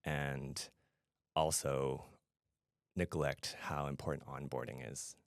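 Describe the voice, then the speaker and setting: A man's voice: rumbling low, level tone, slow pace, creaky, no echo, studio quality. A middle-aged man from Canada speaking conversationally as a guest in an interview. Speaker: podcast guest, fintech product adviser and founder.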